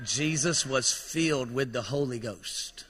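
A man preaching a fervent sermon in a church, his voice strained from shouting and rousing the congregation to respond. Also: medium pitch, a little slow. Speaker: pastor. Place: church sanctuary. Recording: echoey church hall reverb.

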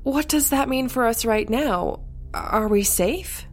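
A woman's voice: on the high side, animated, slow pace, faint music behind. An actress playing a worried, bewildered female hotel employee in an audio drama, speaking hesitantly. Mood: anxious, unsure.

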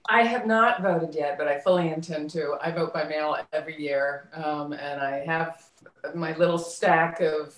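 A woman's voice: low voice, normal speed. A woman talking casually as a podcast guest. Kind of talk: casual chat. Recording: roomy, echoing sound.

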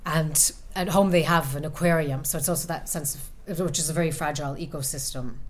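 A woman author answering audience questions about her novel, speaking thoughtfully with many pauses and restarts. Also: sits low. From United States. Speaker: author at a reading. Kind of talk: book talk and audience Q&A. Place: event room with an audience.

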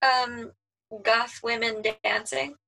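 A woman's voice: flat delivery, slow pace, creaky, bright, forceful tone, poor audio. A woman who sings soprano, chatting casually as a guest, laughing often and trailing off mid-sentence. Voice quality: high soprano voice. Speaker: guest, pop singer. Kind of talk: casual chat.

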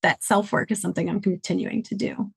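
A woman's voice: on the low side, steady tone, a little fast, vocal fry, slightly rough, no room sound. Thoughtful middle-aged woman from detroit telling her story on a podcast, dry close sound. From Detroit, Michigan, USA. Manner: reflective, earnest storytelling. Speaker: podcast guest, consultant. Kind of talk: conversational interview answers.

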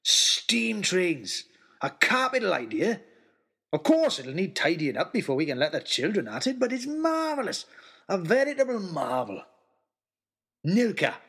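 A man voicing a huge, jolly old man in an audio story, warm, exclamatory and bumbling over names. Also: sits high, sing-song, measured pace, slightly rough, exaggerated voice. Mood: joyous, hearty.

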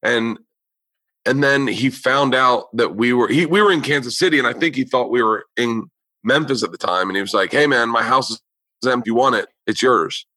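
Guy hosting a podcast, bright punchy voice, swears a lot, dry close mic. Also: mid-range voice, moderate pace, no echo. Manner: loose, profane storytelling with quoted voices. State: losing his voice. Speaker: podcast host, comedian. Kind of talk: casual chat.

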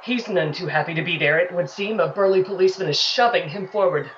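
A woman's voice: fairly low pitch, recorded from a distance, highs cut off, slight background noise, natural voice. A woman playing a frantic field reporter in a 1930s-style radio drama, breathless and dramatic as she describes an alien attack. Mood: tense, observing. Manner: breathless, dramatic, halting with shock.

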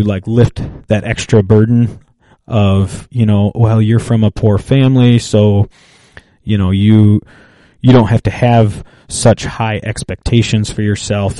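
A man's voice: medium pitch, dark tone, no room sound. A man co-hosting a casual faith and finance chat, thinking aloud with many fillers and restarts. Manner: thoughtful, halting, with restarts and fillers. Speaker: podcast co-host.

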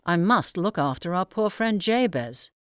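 A woman's voice: on the low side, animated, unhurried, clean tone, no echo, studio quality. A woman voicing a courteous young yeoman soldier who speaks in a hurry. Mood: hurried.

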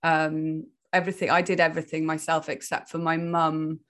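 A woman's voice: deep voice, flat delivery, normal speed, fairly bright tone. A woman, a film director answering interview questions in a thoughtful way, with many fillers, restarts and frequent laughs. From Wales. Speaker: film director and writer, guest.

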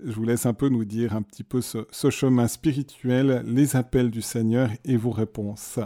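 A man interviewing a guest on a religious radio programme, asking measured questions that gently guide her. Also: medium pitch, steady tone.

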